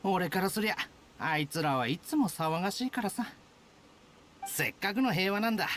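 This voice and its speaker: A man's voice: sits high, fast, a little hiss or hum. A man playing a laid-back, aloof soldier who talks in an offhand way. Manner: relaxed, offhand. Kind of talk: Japanese game dub.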